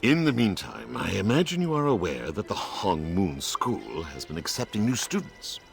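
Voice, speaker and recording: A man voicing a kindly, formal martial arts elder in a video game, measured, courteous and earnest. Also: lowish voice, sing-song, gravelly. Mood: grave, earnest.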